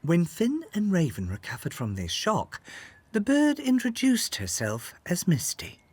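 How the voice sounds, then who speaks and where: A man narrating a scripted children's story in an expressive, dramatic way. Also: high-pitched, unhurried, husky, dry recording.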